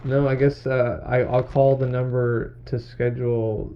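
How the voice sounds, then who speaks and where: A man's voice: medium pitch, little pitch movement, unhurried, a little hiss or hum. A man talking as a patient at a clinic visit, hesitant and agreeable, with many restarts. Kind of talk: casual conversation. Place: clinic exam room. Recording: dull lo-fi phone recording.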